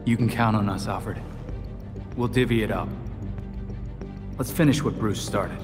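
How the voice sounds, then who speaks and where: In a big echoing hall with music behind, a man plays a resolute young hero for a video game. His lowish voice is measured and steady. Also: lightly acted. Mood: warm resolve. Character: steady, reassuring young male team leader hero. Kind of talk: video game cutscene acting.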